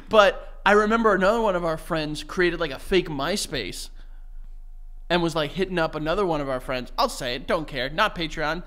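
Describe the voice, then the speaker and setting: Bright, lively young man laughing often. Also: on the high side, normal speed. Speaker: podcast co-host. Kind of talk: casual chat. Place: small studio. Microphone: desk mic on stand.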